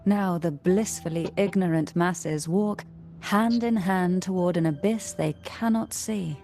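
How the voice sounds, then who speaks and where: A woman voicing a courteous, quietly commanding noblewoman in a video game, with formal, measured and cryptic delivery. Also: fairly low pitch, faint music behind. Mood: grave, cryptic. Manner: slow, measured, formal and poised.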